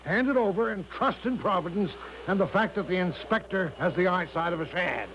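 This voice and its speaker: A man's voice: sits high, a little fast, fairly bright tone. An actor playing a breezy, eccentric older American con man in a 1970s radio drama, folksy, cajoling and grandly confident. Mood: brisk coaxing. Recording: dull lo-fi 1970s radio, faint noise.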